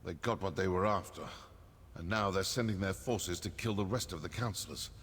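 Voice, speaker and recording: A man voicing a vengeful, sardonic assassin in a fantasy video game, husky, measured and coolly menacing. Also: sits low, a bit bright, exaggerated voice. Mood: cold resolve. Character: vengeful, sardonic male assassin from a dark brotherhood. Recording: long cave-like reverb.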